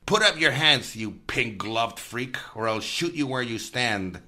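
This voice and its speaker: A man's voice: mid-range voice, animated, unhurried, heavy background noise, natural voice. An actor playing a tough, commanding and contemptuous lawman in a radio mystery. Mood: harsh commanding. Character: tough, scornful lawman barking orders at criminals.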